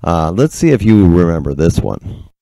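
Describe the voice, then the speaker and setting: A man's voice: deep voice, big pitch swings, normal speed, gravelly, noisy background. An American man casually hosting a radio show.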